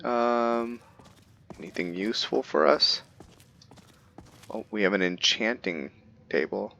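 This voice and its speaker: Slow-talking low-voiced man playing a game. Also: gravelly. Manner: relaxed, musing aloud with fillers. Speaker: gaming video host. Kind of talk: casual game commentary.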